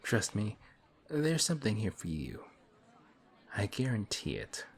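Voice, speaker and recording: A man voicing a suave male villain who teases a hero in an audio roleplay, slow and taunting. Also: lowish voice, sing-song. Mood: smug. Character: smug suave male villain, teasing and calm. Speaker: voice actor.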